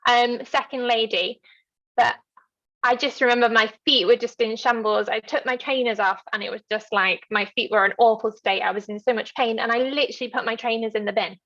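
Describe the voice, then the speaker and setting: A woman's voice: fairly high pitch, steady tone, brisk, a bit bright. A woman, chatting casually as a guest in an interview, on slightly dull lo-fi call audio. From London, England. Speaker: interview guest, ultra runner.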